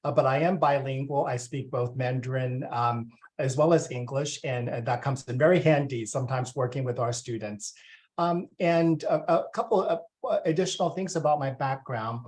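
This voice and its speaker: A man's voice: medium pitch, normal speed, a little mellow. Forties man presenting, full of ums. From Taiwan. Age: 40s. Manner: conversational with frequent ums and uhs. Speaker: university vice president of student affairs. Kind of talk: presenting to families at a welcome session.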